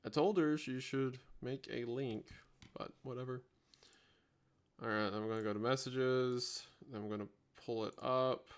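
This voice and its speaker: Guy streamer just chatting off the cuff, medium pitch, kinda rambly, talking thru stuff as he does it. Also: steady tone, unhurried, smooth. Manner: rambling, thinking aloud while multitasking. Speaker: livestream host. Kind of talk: casual unscripted livestream chat.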